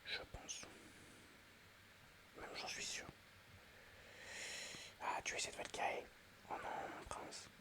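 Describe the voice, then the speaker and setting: A man whispering ASMR-style commentary while playing a mobile game, chatty and excitable, addressing his viewers. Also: takes it slowly, long pauses.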